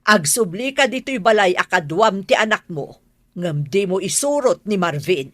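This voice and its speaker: Radio drama role: exasperated, caring middle-aged mother, Ilocano accent, mid pitch, very animated delivery , played by a woman. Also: moderate pace, no room sound, clean studio sound.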